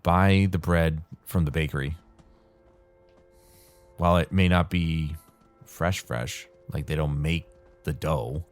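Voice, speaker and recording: A man casually rambling while playing a game, his throat dry and tired, with a calm, soothing way of talking. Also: a bass voice. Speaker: gaming channel host. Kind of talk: casual rambling commentary over gameplay.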